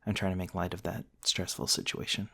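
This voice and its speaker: A man voicing a courteous, gentle, old-fashioned vampire in an ASMR roleplay, speaking in formal, polite and slightly hesitant phrases to the listener. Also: low voice, flat delivery, brisk, creaky, breathy edge, exaggerated voice. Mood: gentle, teasing. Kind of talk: ASMR roleplay, soft-mannered dramatic monologue to the listener.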